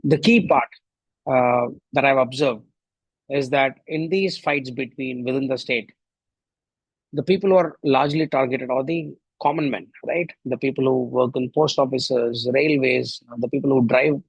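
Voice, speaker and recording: Gravelly Indian man musing aloud. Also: medium pitch, steady tone, moderate pace, no room sound, low-quality recording. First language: Tamil. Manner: reflective, rhetorical questions, frequent "right?". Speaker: podcast host. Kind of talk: solo opinion monologue.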